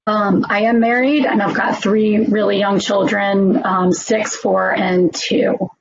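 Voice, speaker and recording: A hoarse woman, introducing herself casually as an engineering supervisor, with many pauses and ums. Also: medium pitch, flat delivery, lo-fi sound, a little hiss or hum. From New Orleans, United States. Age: 40s. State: hoarse, losing her voice. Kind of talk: recruiting presentation self-introduction.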